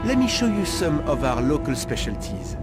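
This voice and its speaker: Sleazy, high-pitched male street informant. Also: sing-song, normal speed, music in the background. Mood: mocking, oily. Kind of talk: video game cutscene acting.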